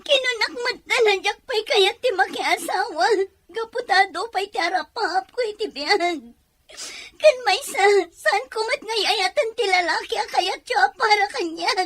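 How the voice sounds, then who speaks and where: A woman's voice: very high voice, normal speed, a bit bright. A woman playing an anguished, defiant young daughter in an audio drama, pleading and emotional. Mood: tearful protest.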